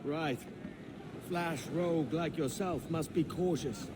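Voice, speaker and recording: An actor playing a cocky, roguish young pirate in a video game cutscene, talking in a wry, bold, informal sailor's way. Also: male voice, fairly high pitch, slow, noisy background.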